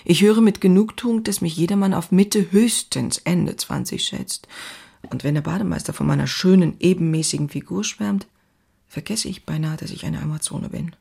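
An actress playing a passionate, anxious woman writer in her thirties, reading her diary in an intimate, confessional way with a husky, breathy voice. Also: on the low side, moderate pace, mellow, no room sound. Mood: restless, hopeful. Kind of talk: dramatic literary diary reading.

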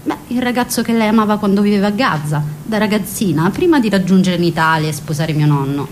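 A woman's voice: mid-range voice, animated, quick pace, a little hiss or hum, close to an everyday voice. A woman playing a wistful, passionate young woman in a scripted radio drama, speaking intimately and reflectively. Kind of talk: scripted radio drama monologue and letter reading.

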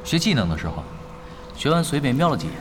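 An actor playing a calm, self-assured expert online gamer in an audio drama, casual and matter-of-fact. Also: male voice, mid-range voice, fast talker, a little hiss or hum, close to an everyday voice. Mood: unhurried, matter-of-fact. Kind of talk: Chinese audio drama acting.

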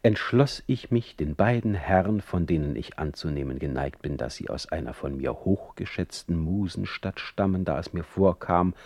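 Heinrich Heine, a deep-voiced, touchy older poet. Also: male voice, darkish tone, dry recording, heavily acted. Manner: ornate, theatrical, sharply emphatic. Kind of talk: dramatised letter reading, formal literary radio play.

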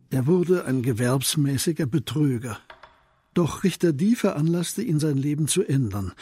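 A man narrating a crime radio play as scripted literary storytelling, in a measured manner. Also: medium pitch, a little mellow.

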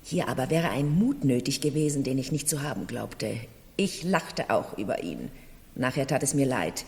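An actress in a 1970s German radio play, playing a proud, high-strung middle-aged noblewoman with a haughty, confessional manner. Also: lively intonation, moderate pace, vocal fry, darkish tone, exaggerated voice. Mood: confessional, wistful. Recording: reverberant room, vintage radio drama.

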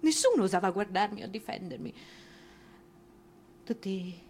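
A woman's voice: medium pitch, expressive, moderate pace, darkish tone, a little hiss or hum, close to an everyday voice. An actress playing a humiliated, indignant wife in a theatrical monologue, dramatic and mocking as she stretches words for emphasis. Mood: wounded indignation.

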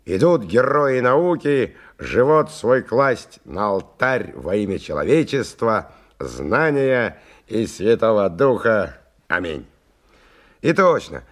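Sardonic world-weary stalker, radio drama narration, deep gravel-free husky tone, colloquial storytelling, highly animated intonation. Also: male voice, full character voice. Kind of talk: 1990 Russian radio drama, first-person narration.

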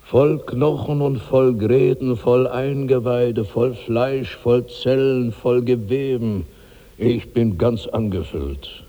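A man's voice: mid-range voice, slow, phone-quality sound, lightly acted. An actor in a 1960s German radio drama playing a huge, heavy old man tormented by bottomless hunger, in declamatory, incantatory repetition. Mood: heavy, sated. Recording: long hall reverb on old radio recording.

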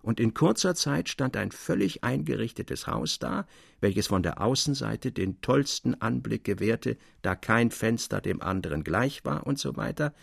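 A man narrating a classic literary tale in a measured, articulate way with expressive emphasis. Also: mid-range voice, creaky, slightly rough, dry recording, studio quality.

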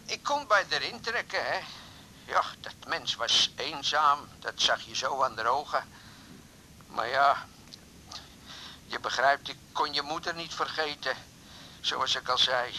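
An actor in a radio drama playing an impulsive, sheepish middle-aged suitor who talks haltingly and pleadingly. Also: male voice, a little high, bright, forceful tone, a little hiss or hum, poor audio. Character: impulsive, sheepish older suitor calling on the phone. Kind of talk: 1980s Dutch radio drama acting.

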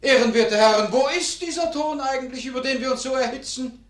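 A man's voice: very high-pitched, fairly quick, a bit bright. An actor in a radio play playing a pompous, sceptical male parliamentarian, speaking in declamatory oratory. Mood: sceptical, declaiming. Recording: echoey hall acoustic.

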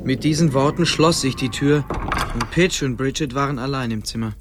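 A man's voice: mid-range voice, moderate pace, background noise. A man narrating a radio play as a scripted storyteller.

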